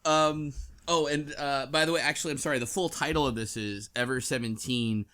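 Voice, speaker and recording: A man's voice: mid-range voice, steady tone, fairly quick, bright, forceful tone. A man hosting a casual discussion podcast, speaking in an easygoing, somewhat hesitant way. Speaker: podcast host. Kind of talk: casual book club discussion.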